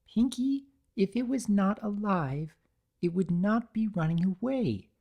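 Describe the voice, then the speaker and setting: A woman voicing a gentle cartoon pegasus, protective and assertive, with an earnest, soft but firm manner. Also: mid-range voice, animated, a little slow, muffled recording, pitched above the performer's own voice. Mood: plaintive, firm.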